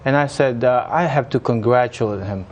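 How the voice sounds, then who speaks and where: A man from Haiti with a Haitian accent, a municipal engineer chatting as a guest in an interview and often pausing on fillers. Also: medium pitch, highs cut off. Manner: hesitant, many uh fillers and restarts. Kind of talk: casual interview chat.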